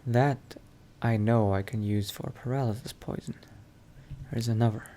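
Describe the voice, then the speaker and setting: A man's voice: mid-range voice, fairly even intonation, normal speed, dark tone, a little hiss or hum, lightly acted. A man playing a cautious vampire adventurer in an improvised game roleplay, musing hesitantly to himself. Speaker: roleplay let's-play player. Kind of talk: in-character improvised roleplay monologue.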